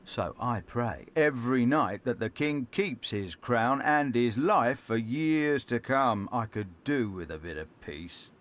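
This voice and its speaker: War-weary medieval guard, medium pitch. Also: male voice, lively intonation, slow pace, few pauses, fairly bright tone, slight background noise. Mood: earnest. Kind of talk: video game character acting.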